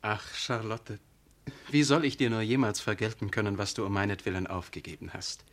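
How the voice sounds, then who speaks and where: An actor playing a fervent, self-righteous young curate, with impassioned, declamatory delivery in a German radio drama. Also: male voice, on the low side, fairly even intonation, a little fast, natural voice. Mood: indignant, pious.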